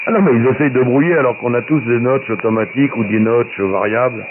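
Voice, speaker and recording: A man's voice: medium pitch, brisk. A man chatting casually as an amateur radio operator, colloquial and boastful, repeating phrases for emphasis, his voice coming through shortwave radio. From Paris, France. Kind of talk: casual radio chat, anecdotes. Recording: very noisy narrowband shortwave radio transmission. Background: loud radio static.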